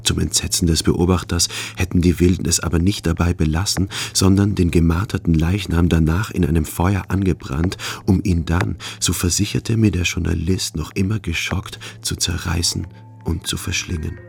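An actor playing a callous, naive soldier who narrates a river mission in a radio play, formal, deadpan and measured. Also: male voice, sits low, creaky. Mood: solemn, grave.

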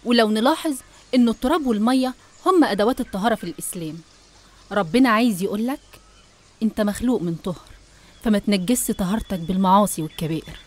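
A woman's voice: medium pitch, animated, quick pace. A woman with an Egyptian accent narrating a scripted religious story in a didactic way, speaking straight to the listener. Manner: didactic, addressing the listener directly.

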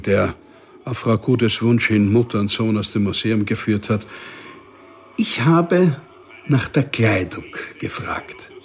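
An actor playing an unremarkable, quietly dangerous civil servant in a radio crime drama, speaking methodically with weighty pauses. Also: male voice, mid-range voice, breathy edge, exaggerated voice. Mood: measured, probing. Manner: deliberate, enumerating, with weighty pauses.

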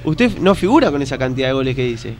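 A man's voice: medium pitch, very animated, fast talker, slight background noise. A man hosting a comedy radio show, playing the straight man and steering the interview.

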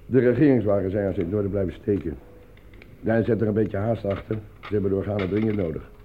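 A businesslike boss played by a man rattles off instructions quickly in a lowish voice. He sounds urgent and practical, in a narrowband radio play recording. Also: close to an everyday voice. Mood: brisk, urgent. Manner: hurried, instructing. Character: brisk, businesslike male boss handing out urgent delivery orders. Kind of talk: radio drama acting. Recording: phone-like narrowband recording with faint noise.

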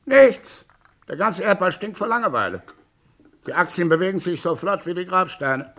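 An actor playing a snobbish, bored businessman knight in a radio crime play, gruff and grumbling. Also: high-pitched, sing-song, normal speed, a bit bright, exaggerated voice. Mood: bored, bitter.

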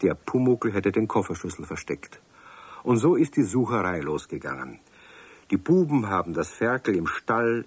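Man narrating a kids' radio play, husky, lower voice, very lively. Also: no room sound. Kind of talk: storytelling narration for children.

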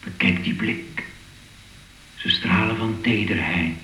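An actor playing a sober, thoughtful art investigator in a radio drama, speaking in a measured, pondering way. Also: male voice, lowish voice, fairly even intonation, sharp tone, soft background music, exaggerated voice. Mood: puzzled. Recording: echoey, faintly noisy old radio play.

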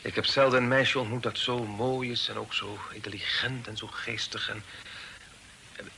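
An actor playing a polite, bashful young gentleman traveller in a radio drama, speaking hesitantly. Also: medium pitch, little pitch movement, normal speed, gravelly. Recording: noisy old radio recording.

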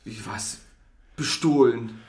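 An actor playing a bewildered, anguished man in an audio drama, emotional and halting, full of questioning. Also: medium pitch, a little mellow, lightly acted. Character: bewildered, anguished man insisting on his identity. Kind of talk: German audio drama acting.

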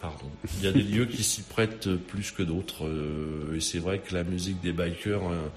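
A male rock musician guesting on a radio show, speaking hesitantly, repeating words and filling pauses with "euh". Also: sits low, soft background music. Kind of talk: casual radio interview.

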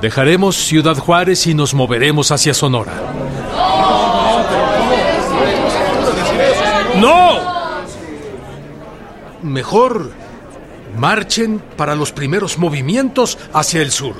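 An actor playing an earnest revolutionary leader addressing his troops in a deliberate, declamatory radio drama style. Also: a little high, very animated, very noisy. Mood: resolute. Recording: loud crowd behind the voice.

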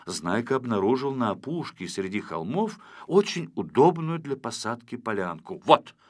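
A man narrating a children's story from a script in an expressive style. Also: mid-range voice, moderate pace. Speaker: audiobook narrator.

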